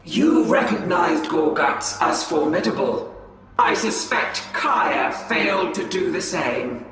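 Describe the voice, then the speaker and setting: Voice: medium pitch, expressive, slow. A performer voicing a ruthless ancient elf warrior lord, cold and commanding. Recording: echoey hall, from far off, loud music.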